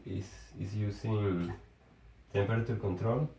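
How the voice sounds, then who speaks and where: Venezuelan guy, kinda low voice, halting english w lots of restarts, sounds far from the mic in an echoey room. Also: dark tone, a little hiss or hum. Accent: Venezuelan Spanish. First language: Spanish. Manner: halting, restarts words, searches for English phrasing. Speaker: engineer. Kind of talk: casual conversation.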